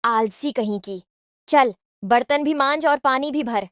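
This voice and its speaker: Cartoon stepmother acted by a woman: high-pitched, harsh, spiteful, scolding, close dry sound. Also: unhurried, fairly bright tone, no room sound. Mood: angry scolding.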